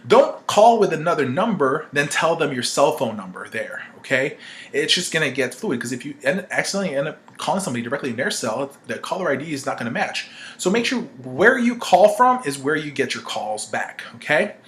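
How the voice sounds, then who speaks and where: A man's voice: a little high, sing-song, brisk, a bit bright, a little hiss or hum. A man giving an informal instructional marketing-training talk, conversational and emphatic, often checking in with "right?" as he makes his points. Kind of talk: informal instructional talk with acted-out example calls.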